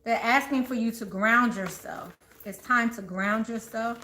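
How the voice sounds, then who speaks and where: A woman with a high, bright voice reads tarot cards for her viewers, chatty and quick to laugh. The room echoes, with a faint hiss underneath. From born in Texas, raised in the Maryland and DC area. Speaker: tarot reader. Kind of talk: live tarot reading chat.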